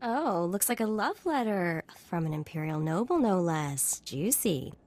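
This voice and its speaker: An actress playing a chatty, irreverent sidekick in a video game, casual and wry. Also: female voice, on the low side, very animated, measured pace, darkish tone. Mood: wry, amused.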